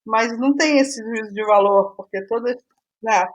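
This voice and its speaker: A woman's voice: a little high, moderate pace, highs cut off. A Brazilian woman being interviewed as a playwright, talking casually and reflectively, thinking aloud and wandering into digressions.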